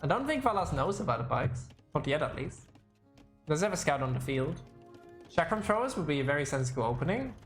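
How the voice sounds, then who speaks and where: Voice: medium pitch, sing-song, fairly quick, roomy sound, soft background music. A game caster giving casual live commentary and chatting with viewers, rambling, thinking aloud and often self-correcting.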